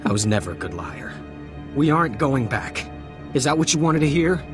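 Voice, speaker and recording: An actor playing a desperate, war-weary deserter soldier in a video game, stammering and pleading nervously. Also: male voice, medium pitch, big pitch swings, moderate pace, music in the background, natural voice. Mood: guilty, resigned. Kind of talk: dramatic video game acting.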